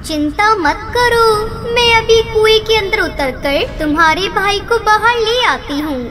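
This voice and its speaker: A woman's voice: very high-pitched, lively intonation, a little slow, music in the background. A woman voicing a kind, brave young female ghost bird in a cartoon, speaking earnestly. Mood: reassuring. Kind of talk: Hindi moral-story cartoon dubbing.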